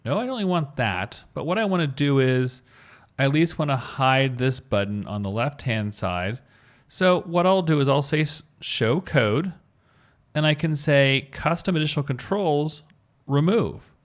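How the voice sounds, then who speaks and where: A man's voice: mid-range voice, animated, normal speed. A man giving an informal screencast tutorial as an instructor, thinking aloud as he talks through each step. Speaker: web coding instructor.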